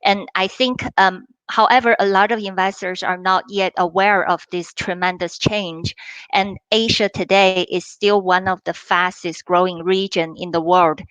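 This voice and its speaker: A woman, a fund manager from China, answering in a measured, explanatory way over a remote call. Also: mid-range voice, steady tone, fairly bright tone, clear, resonant tone, no room sound, rough recording. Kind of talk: webinar interview answers. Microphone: remote call line.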